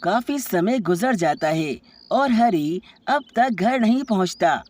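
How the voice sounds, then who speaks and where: A woman narrator tells a scripted bedtime story for children. Her voice sits at a medium pitch, in a storytelling delivery. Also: animated, slow pace, darkish tone, slight background noise.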